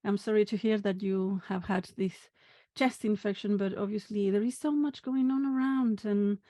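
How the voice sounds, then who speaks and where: A woman hosting a podcast, introducing her guest and asking questions in a measured way with frequent restarts. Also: medium pitch, steady tone, dark tone, no echo. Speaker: podcast host.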